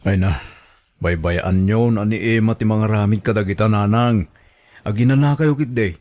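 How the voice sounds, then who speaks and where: A devoted grown son in an Ilocano radio drama, played by a man. His voice is fairly low, and he speaks earnestly, placating and reassuring his family. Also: moderate pace, a little mellow, natural voice. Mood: grateful, placating.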